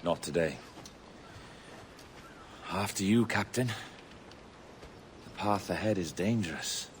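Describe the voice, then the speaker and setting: A man's voice: low voice, takes it slowly. A man playing a cunning, grandiose pirate captain in a video game, eloquent and sardonic in a theatrical way. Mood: cryptic. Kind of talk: video game cutscene acting. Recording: game audio with clear ambient noise.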